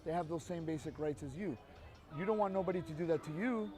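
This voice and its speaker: Street activist, male, high voice, emphatic and lecturing, outdoors with faint music behind. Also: fast. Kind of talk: persuasive street conversation.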